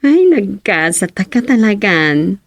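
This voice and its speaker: An actress playing a young wife and daughter with a Filipino Ilocano accent, coaxing and teasing in a radio comedy drama. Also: female voice, mid-range voice, big pitch swings, slow, no room sound, full character voice.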